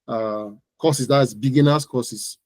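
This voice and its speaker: A man's voice: medium pitch, slow pace, no room sound. A man with a Nigerian accent, explaining things as an expert interview guest in an emphatic, instructive, conversational way, often repeating phrases.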